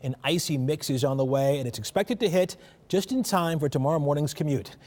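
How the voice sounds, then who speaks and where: A man's voice: a little high, a little fast, a little mellow. A man presenting the news as an evening anchor in a broadcast style.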